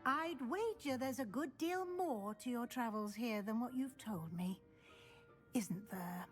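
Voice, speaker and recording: Shrewd brisk lady professor in a fantasy game, highish voice, formal and in charge, soft music behind. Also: expressive. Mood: shrewd, probing. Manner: formal, crisp, authoritative. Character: brisk, shrewd female senior professor at wizard school. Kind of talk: scripted video game acting.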